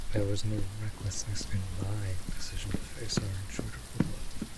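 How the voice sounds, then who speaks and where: A man playing a courtly, protective vampire patriarch in an intimate fantasy audio roleplay, with breathy, often whispered, formal speech. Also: on the low side. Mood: fond, resolute. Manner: formal, archaic phrasing, deliberate. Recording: rain noise over close voice. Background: rain on surfaces.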